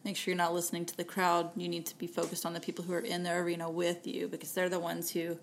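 A woman with a Texan accent, co-hosting a casual faith-based chat podcast. Her voice sits a little low, and she talks in a lively, playful way, tossing in quick asides. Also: a little hiss or hum. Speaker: podcast co-host.

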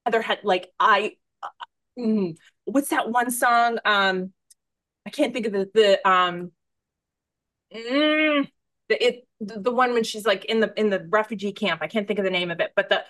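A woman's voice: mid-range voice, normal speed, fairly bright tone. A woman hosting a casual podcast interview, talking in an effusive, emphatic way and rambling off on tangents. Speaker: podcast host.

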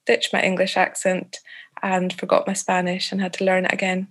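A Scottish woman answering interview questions thoughtfully, pausing often with fillers and restarting phrases. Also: on the low side, completely flat delivery, fairly bright tone. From Scotland. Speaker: author guest.

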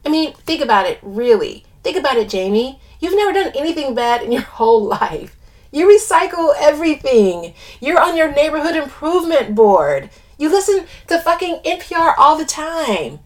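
Brash female best friend, voiced by a woman narrator: high, very lively, blunt, profane, theatrical audiobook read. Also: normal speed. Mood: amused disbelief. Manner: sweary, exclamatory, stammers when flustered. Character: brash, foul-mouthed, dramatic woman friend, alarmed and blunt. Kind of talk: audiobook character dialogue.

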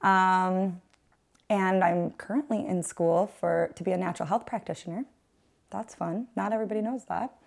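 A woman in her twenties, a podcast guest chatting about her life, outgoing and quick to laugh, with a naturally loud voice she keeps calm. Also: fairly low pitch, vocal fry. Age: 20s. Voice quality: loud voice held back to a calm tone. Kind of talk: casual chat.

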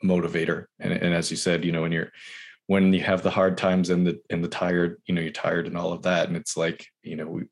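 Deep-voiced man hosting, halting speech. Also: level tone. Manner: halting, repeats words. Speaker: podcast host. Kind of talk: casual interview hosting.

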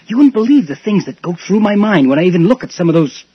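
An actor playing a breezy, sceptical and slightly nervous dental patient in a radio drama, exclamatory and dismissive. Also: male voice, sits high, sing-song, fast, slightly rough, no echo, exaggerated voice. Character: breezy, sceptical, dentist-fearing male patient. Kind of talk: 1980s radio drama acting. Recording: dull radio recording.

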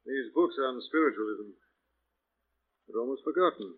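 An actor playing a composed, authoritative gentleman investigator, reassuring and inquisitive, in 1940s radio style. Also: medium pitch, animated, moderate pace, breathy edge. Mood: calm, inquisitive. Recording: dull lo-fi 1940s radio recording.

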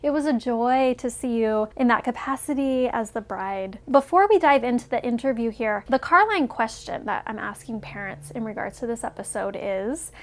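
Higher-voiced woman hosting an interview. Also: animated, normal speed, few pauses. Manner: friendly, laughs often, chatty asides. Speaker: podcast host and interviewer. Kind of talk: conversational interview.

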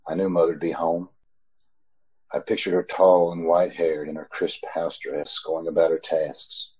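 A man's voice: low voice, mellow, a little hiss or hum, poor audio. A man playing a desperate, haunted driver, narrating a radio suspense drama in a dramatic, pleading manner. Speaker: actor in an old-time radio troupe. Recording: amateur video call recording.

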